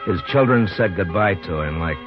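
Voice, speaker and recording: An American actor playing a weary, firm but kind Old West marshal in a 1950s radio drama. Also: male voice, low voice, lively intonation, moderate pace. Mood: weary, narrating. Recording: muffled vintage 1950s radio, music behind.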